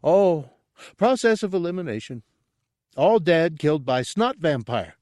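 A man voicing a dim-witted, excitable, childlike alien guard who speaks eagerly and emphatically in broken, simple grammar, in a storybook reading. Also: a little high, normal speed, no room sound, clean studio sound, voice pushed up. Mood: triumphant, proud.

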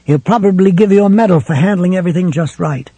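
A man playing a steady man who speaks softly and calmly, holding anger in. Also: high-pitched, fast talker, husky, no echo, voice pushed up. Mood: firm. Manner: soft, calming. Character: steady, quietly angry man.

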